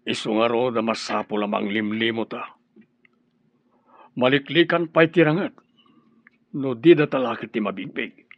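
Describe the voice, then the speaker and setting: An actor plays a cautious, scheming Ilocano man in a radio drama. His husky, mid-pitched voice is earnest and insistent, in dry, slightly muffled sound. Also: moderate pace, long pauses, no room sound, close to an everyday voice. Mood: cautious, urgent. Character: cautious, scheming man planning a disguised getaway.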